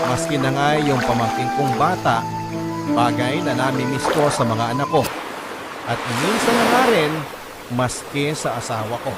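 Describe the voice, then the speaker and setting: Male actor as guilt-ridden migrant father, sorrowful radio drama confession, medium pitch, loud music underneath. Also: lightly acted. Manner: earnest, sorrowful storytelling. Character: guilt-ridden overseas worker father recounting his life story.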